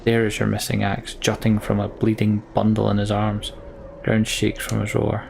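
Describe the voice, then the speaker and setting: A man's voice: on the low side, completely flat delivery, normal speed, vocal fry, background noise. A man playing a video game, reading its story aloud dramatically with casual, mildly sweary asides. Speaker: let's player voicing a game's text. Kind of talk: gameplay commentary and dramatic read-aloud.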